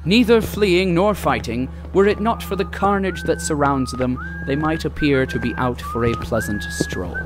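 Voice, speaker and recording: A man's voice: a little high, animated, normal speed, few pauses, music in the background, natural voice, rough recording. A man playing the narrator of a dystopian audio drama, delivering emphatic, mock-earnest announcements in a scripted style. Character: cheerful, ominous narrator of a dystopian city, announcer-like.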